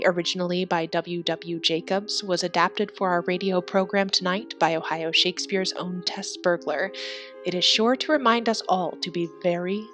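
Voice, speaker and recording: Lady radio announcer, kinda low voice, theatrical old timey intro, soft music underneath. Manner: theatrical, formal. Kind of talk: old-time radio show introduction, scripted. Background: faint music.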